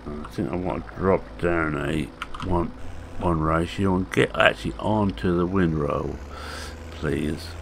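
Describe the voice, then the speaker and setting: A man in his sixties with a hoarse, croaky voice, giving casual, rambling commentary on a farming game with halting fillers. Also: deep voice, steady tone, slight background noise. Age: 60s. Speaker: farming game video maker.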